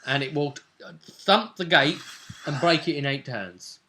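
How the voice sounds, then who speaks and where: A man's voice: a little high, unhurried, pushed and bright, slight background noise. A man hosting as game master, casually recapping a tabletop role-play session and repeating phrases for dramatic emphasis. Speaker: game master and vidcast host.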